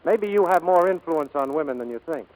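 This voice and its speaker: An actor playing a kindly, earnest plane builder in 1940s radio drama, gentle and a little hesitant. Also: male voice, a little high, expressive, brisk, lightly acted, poor audio. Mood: guarded.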